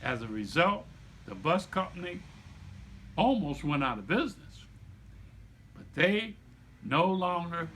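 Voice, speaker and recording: Slow, bright-voiced man reading aloud. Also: a little high, sing-song, a little hiss or hum. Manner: deliberate, emphatic phrasing with repetition for young listeners. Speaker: storyteller reading aloud to children. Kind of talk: read-aloud narration with teaching asides.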